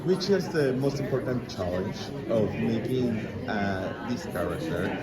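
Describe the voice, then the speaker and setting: A man from Mexico puts interview questions in a low voice. His speech is very slow and halting, with loud crowd chatter around him. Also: expressive. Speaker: reporter asking questions.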